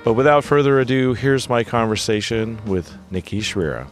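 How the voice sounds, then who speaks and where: A man's voice: medium pitch, animated, moderate pace, over loud music. A man hosting a podcast, chatting in a friendly way with frequent fillers. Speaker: podcast host. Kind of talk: casual interview chat. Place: home in Oregon.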